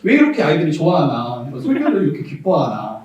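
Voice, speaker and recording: A Korean pastor from South Jeolla with a Jeolla dialect preaches a sermon, candid and reminiscing, laughing often and rising to emphasis, recorded as far-off audio in a church sanctuary. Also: male voice, fairly high pitch, huge pitch swings, quick pace, darkish tone, very echoey, dull audio.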